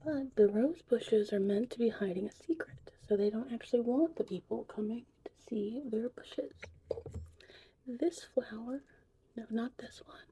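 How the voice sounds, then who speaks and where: Woman as a slow, whispering, gentle witch. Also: on the high side, slight background noise. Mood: gentle, confiding. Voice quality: breathy whispering, soft-spoken. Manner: slow, soothing, unhurried. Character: gentle, whimsical female witch teaching potion making. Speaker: ASMR performer. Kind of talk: ASMR roleplay.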